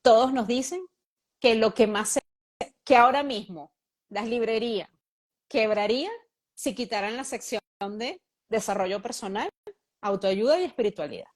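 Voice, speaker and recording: A woman hosting an interview, asking long questions in a thoughtful, measured way and pausing to search for words. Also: a little high. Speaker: host, reading mediator.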